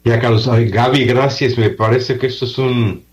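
A man speaking as a priest and interview guest, giving earnest, deliberate testimony in a conversational way over a dull, band-limited recording. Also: mid-range voice, steady tone.

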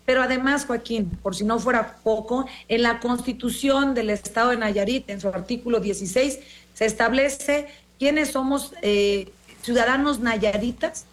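A woman's voice: on the high side, moderate pace. A middle-aged woman with a Mexican accent giving formal, argumentative interview answers as a politician, emphatic and repetitive. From born in Los Angeles, Mexican.

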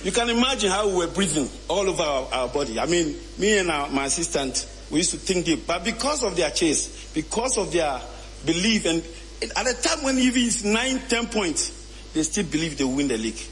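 A man, a football coach giving a slow, earnest thank-you speech. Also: high voice, sing-song, a bit bright, rough recording.

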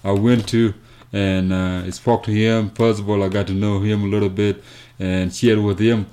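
A man from South Sudan telling his life story as an interview guest, speaking haltingly with many fillers and restarts. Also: on the low side, level tone, warm tone. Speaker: guest, nonprofit founder.

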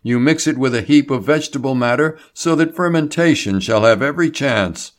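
Man voicing an excitable crank doctor, snorting, high and loud when worked up. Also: brisk, lightly acted. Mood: excited. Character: excitable older male doctor, scornful crank.

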